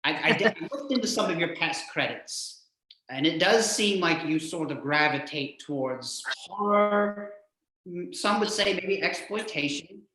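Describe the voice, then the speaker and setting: A man with a slightly higher-pitched voice hosts a casual interview. He rambles haltingly, restarting and repeating words as he shapes his questions. The sound is clearly echoey and roomy. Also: lively intonation, sharp tone, a little hiss or hum. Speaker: show host, interviewer.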